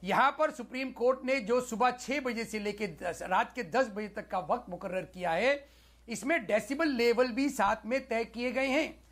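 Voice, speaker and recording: A man speaking as a panelist in a television debate, explaining his points in a measured way with English words mixed in. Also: very high-pitched, a bit bright.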